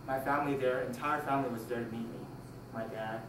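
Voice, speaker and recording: A young man from Taiwan delivers a prepared personal speech to an audience. He tells it deliberately, pausing between phrases. The recording is roomy and distant, with faint noise behind him. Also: medium pitch, fairly even intonation. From born in Taiwan, moved to the United States at six. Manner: deliberate, measured storytelling with pauses. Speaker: speech club member giving a speech. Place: indoor room, recorded from a distance.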